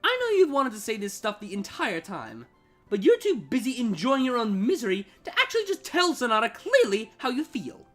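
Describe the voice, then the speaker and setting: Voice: medium pitch, wildly swooping pitch, brisk, a bit bright, faint music behind, pitched above the performer's own voice. A performer voicing an irritable, bossy female siren with a sarcastic, exasperated manner for a story reading. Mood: angry. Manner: sardonic, snapping, exasperated.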